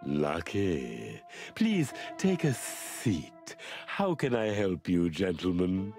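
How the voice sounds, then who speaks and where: An actor playing an unsettling, philosophical snake doctor in a noir game, speaking in a measured, cryptic way. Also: male voice, sits low, wildly swooping pitch, a little mellow, soft background music, full character voice. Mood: calm, eerie. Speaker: asylum doctor character.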